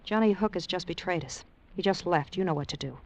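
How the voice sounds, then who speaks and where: A woman's voice: lowish voice, a little fast, a little hiss or hum, natural voice. An actress playing a bitter, commanding female crime boss in a 1940s radio drama, with curt, sardonic delivery. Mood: cold, commanding. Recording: old radio broadcast with music underneath.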